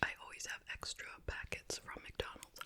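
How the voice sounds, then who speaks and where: Whispering woman, crisp and bright ASMR host. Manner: soft, unhurried, conversational whisper to viewers. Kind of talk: whispered ASMR mukbang chit chat.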